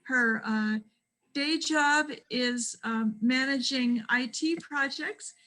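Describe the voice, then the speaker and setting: A woman hosting a church adult forum, introducing guests haltingly with many fillers over a video call. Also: sits high, bright, forceful tone. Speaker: forum host. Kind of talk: hesitant introduction.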